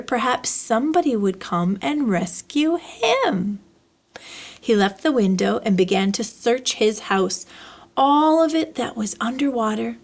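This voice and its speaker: Female children's story reader: highish voice, very animated intonation, deliberate phrasing.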